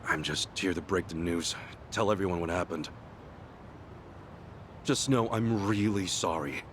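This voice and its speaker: A man's voice: low-pitched, measured pace, a bit bright, slight background noise. A man voicing an earnest, apologetic ex-gangster hero in a dramatic video game cutscene. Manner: apologetic, sincere. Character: earnest, guilt-ridden ex-yakuza hero, adult man.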